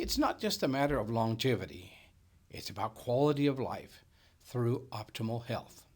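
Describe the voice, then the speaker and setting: A middle-aged man from Denmark with a Danish accent, a native Danish speaker, delivering a scripted sales presentation. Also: mid-range voice. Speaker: business owner and presenter.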